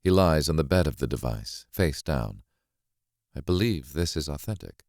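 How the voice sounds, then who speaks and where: A man narrating a thriller novel as a grave, watchful witness, measured and deliberate in his delivery. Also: a bass voice, expressive, darkish tone, dry recording, studio quality. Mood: grave, suspenseful. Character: grave, watchful man recounting a horrific stage act. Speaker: audiobook narrator.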